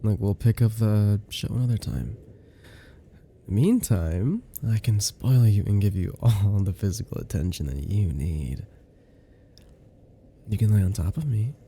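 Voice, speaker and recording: A man performs an affectionate, teasing boyfriend in an intimate ASMR roleplay. His low voice has a gravelly creak and a soft, unhurried, playful delivery. Faint noise sits behind him. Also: animated. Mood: tender.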